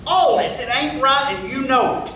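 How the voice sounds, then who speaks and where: A far-off recording in a very echoey room with faint noise. An actor plays a stubborn, wounded working man in a stage drama, pleading indignantly in a high, sharp voice. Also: animated, exaggerated voice. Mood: aggrieved, pleading. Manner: forceful, pleading, halting. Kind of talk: dramatic table reading of a stage play.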